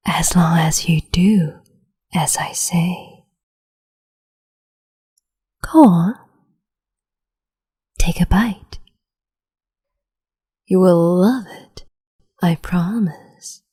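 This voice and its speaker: A woman voicing a cruel, capricious empress in an ASMR roleplay, whispering softly and condescendingly with sweet menace, close to the mic. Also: lowish voice, full character voice. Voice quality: breathy whisper.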